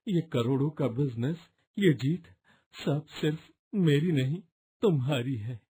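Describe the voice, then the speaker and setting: Man playing earnest young cartoon hero, emotional, slow delivery, slightly high male pitch. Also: warm tone, husky. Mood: grateful, tender. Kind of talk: dramatic cartoon story dialogue.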